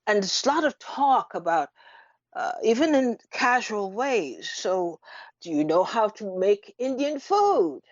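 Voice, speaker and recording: A woman giving unscripted radio commentary in an emphatic, deliberate manner, with rhetorical questions and pauses. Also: mid-range voice, very animated, dry recording. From Trinidad. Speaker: radio talk show host. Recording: dull lo-fi radio audio.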